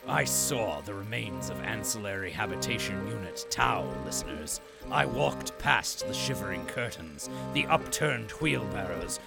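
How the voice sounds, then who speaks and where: An actor playing an ominous, pompous storyteller broadcasting to his city in an audio drama, gravelly and theatrical. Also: medium pitch. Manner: theatrical, portentous. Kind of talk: scripted audio drama narration.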